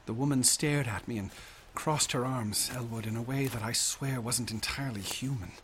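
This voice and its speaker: An actor playing an obsessed young student in a radio drama, speaking intensely and articulately in formal phrases. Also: male voice, medium pitch, normal speed, fairly bright tone, a little hiss or hum, close to an everyday voice. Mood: haunted awe. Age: young adult. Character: obsessed, haunted young male student recounting eerie visions.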